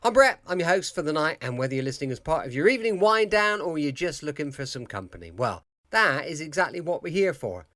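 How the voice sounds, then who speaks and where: A man's voice: fairly high pitch, big pitch swings, quick pace, few pauses, bright, forceful tone, no echo. A British man hosting a podcast from a bedroom, giving a chatty, informal introduction. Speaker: podcast host. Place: small bedroom, indoors.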